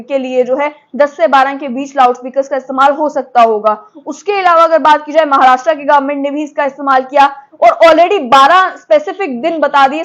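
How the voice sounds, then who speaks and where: A woman's voice: high voice, highs cut off. A woman presenting explanatory news commentary, mixing in English legal terms as she explains point by point.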